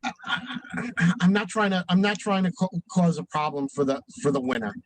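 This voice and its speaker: A man with a high voice talks casually as a co-host. He is mild-mannered and halting, feeling his way through sentences, in a dry, close recording. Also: expressive, no echo, rough recording. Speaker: show co-host and producer, drag performer. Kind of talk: casual chat.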